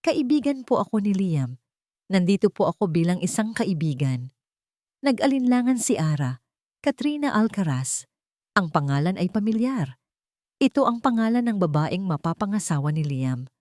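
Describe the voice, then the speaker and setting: A woman narrates a dramatic love story from a script. Her voice sits fairly low, and the sound is dry and close, with very little room in it. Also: a little mellow, dry recording.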